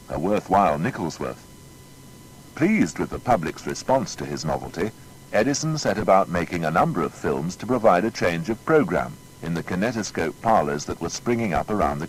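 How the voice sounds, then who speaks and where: Deep-voiced male documentary narrator. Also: slight background noise, poor audio. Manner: measured, storytelling, formal.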